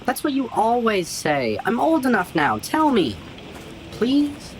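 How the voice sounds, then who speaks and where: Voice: mid-range voice, big pitch swings, slow pace, poor audio. A performer playing an eager, stubborn young grandson, pleading in a fantasy audio drama. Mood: eager, indignant. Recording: clear background ambience noise.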